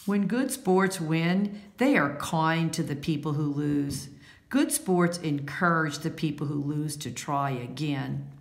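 A low-voiced woman reads a children's book aloud in an echoey room. She takes it very slowly, stressing key words in an instructive, deliberate way. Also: gravelly. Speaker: read-aloud storyteller. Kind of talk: children's picture-book read-aloud with commentary.

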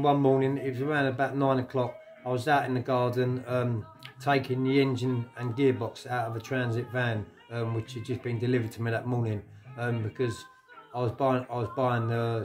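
Middle-aged London man, flat-toned storyteller. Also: medium pitch, normal speed, faint music behind. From England. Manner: colloquial, sweary, repeats phrases. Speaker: vlogger telling stories of his past. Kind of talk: casual anecdotal storytelling to camera. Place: living room at home.

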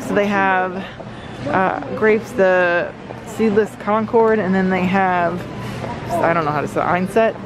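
A woman, chatting casually to viewers as a shopping vlogger, thinking aloud as the items come up. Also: mid-range voice. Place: large indoor warehouse store. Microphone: handheld vlog camera close to speaker. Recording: noisy big warehouse store ambience.

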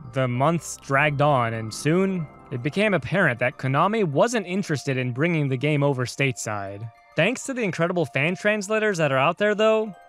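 A man from the United States hosts a scripted video essay on games. His voice sits a little high for a man, and he narrates like an enthusiastic, conversational storyteller. Soft music plays behind him. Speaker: video game reviewer and host.

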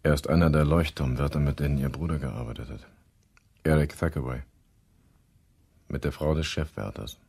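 Very deep, husky police inspector. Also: male voice, normal speed, pauses often, darkish tone, heavily acted. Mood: businesslike. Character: businesslike, questioning male police inspector. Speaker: actor playing a police inspector. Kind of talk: German crime radio play.

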